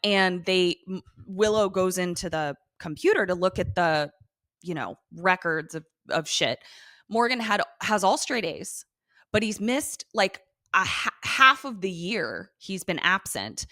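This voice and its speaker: Female podcast co-host for a casual TV recap: bright, irreverent, swears freely, dry studio-close sound. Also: mid-range voice, no echo. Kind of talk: casual TV episode recap chat.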